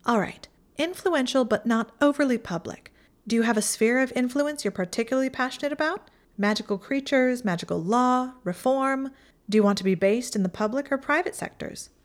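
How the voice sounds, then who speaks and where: A woman's voice: fairly high pitch, a little fast, voice pushed up, close to an everyday voice. A woman voicing a haughty, mocking young witch who talks down to others in a condescending tone. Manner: condescending, sneering.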